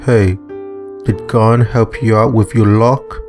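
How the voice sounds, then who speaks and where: Man playing a low, slow, mild-mannered big animal. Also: fairly even intonation, a little mellow, faint music behind. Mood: concerned. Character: big, mild-mannered male animal, pensive and well-meaning.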